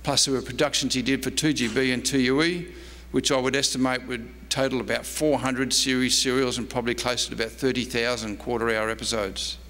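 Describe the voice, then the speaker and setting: A man delivers a historical lecture from prepared notes. His voice sits at an ordinary pitch, carried through a long, ringing hall reverb. A noticeable hum and noise run along with it. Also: level tone, a little fast, few pauses, fairly bright tone. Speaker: historian and archivist giving a lecture. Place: convention presentation room.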